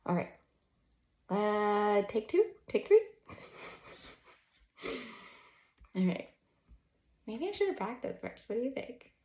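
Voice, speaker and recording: A woman in her early forties talking candidly and a little nervously in an unscripted personal vlog, halting and self-conscious. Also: medium pitch, very animated, mellow. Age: early 40s. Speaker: counselor and children's book author. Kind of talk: unscripted personal vlog, reading own manuscript. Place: indoors at a desk, live unedited home recording. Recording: roomy echo of an untreated room.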